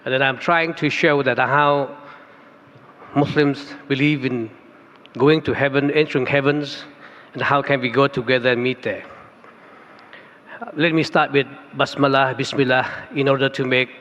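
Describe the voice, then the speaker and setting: A man's voice: mid-range voice, steady tone, normal speed, pauses often, a bit bright. A man from Thailand with a Thai accent, speaking English as a second language and giving an earnest conference keynote speech that builds to emphatic stress. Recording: reverberant hall, dull lo-fi sound.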